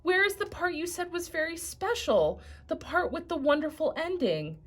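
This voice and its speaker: A woman's voice: very high-pitched, big pitch swings, normal speed, pitched above the performer's own voice. A woman voicing an arrogant male donkey in a storybook reading, scoffing at everything. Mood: disappointed. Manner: scoffing, sneering.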